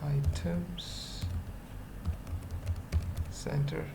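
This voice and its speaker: A coding tutorial presenter thinking aloud in short, halting fragments during an unscripted screencast. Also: medium pitch, level tone, gravelly. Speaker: web development tutorial presenter. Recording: noisy mic, keyboard typing. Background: computer keyboard typing.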